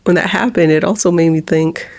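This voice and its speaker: Low, gravelly woman holding back tears. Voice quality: low, monotone voice. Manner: halting, with nervous laughs. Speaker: vlogger. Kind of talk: personal confessional vlog.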